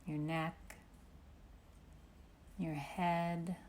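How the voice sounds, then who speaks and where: A woman leads a guided meditation in a low voice. She speaks very slowly and gently, leaving long pauses between instructions. Ambient noise is clearly present behind her. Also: flat delivery. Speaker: meditation teacher.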